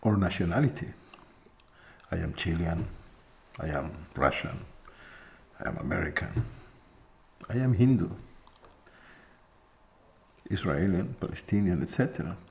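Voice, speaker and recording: Slow, low-voiced man teaching softly. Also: expressive, gravelly, slight background noise. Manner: slow, reflective, with pauses and soft laughs. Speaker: spiritual teacher. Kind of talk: spiritual talk to an audience.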